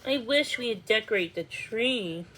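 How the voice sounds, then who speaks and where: Woman playing hopeful young storybook girl, about seven, earnest and childlike, slightly raised pitch, faint noise. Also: lively intonation, unhurried, fairly bright tone, poor audio. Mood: wistful. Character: seven-year-old girl, hopeful, eager, tender-hearted.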